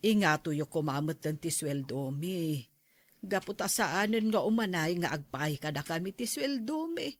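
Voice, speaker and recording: A woman's voice: lowish voice, animated, normal speed, no room sound. An actress playing a humble, motherly older housemaid and nanny in a radio drama, hesitant and deferential. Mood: timid, deferential. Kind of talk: Ilocano radio drama acting.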